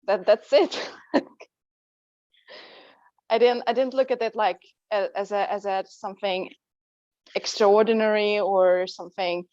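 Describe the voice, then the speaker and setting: A woman whose first language is Russian, a language teacher answering interview questions in English in a calm, matter-of-fact way with some hesitant fillers. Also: medium pitch, dry recording. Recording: dull dry lo-fi sound.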